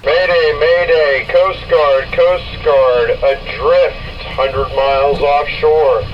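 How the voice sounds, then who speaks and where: A man's voice: fairly high pitch, steady tone, slow, distant mic, lo-fi sound. A man making a distress call as a stranded boater over a crackly marine radio. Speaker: boater calling mayday.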